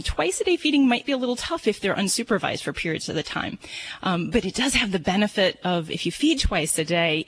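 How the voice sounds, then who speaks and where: A woman's voice: fairly low pitch, rough recording. A woman veterinarian with a nasal Chicago accent, giving advice to a caller on a radio show. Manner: explanatory, frequent ums. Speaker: veterinarian co-host.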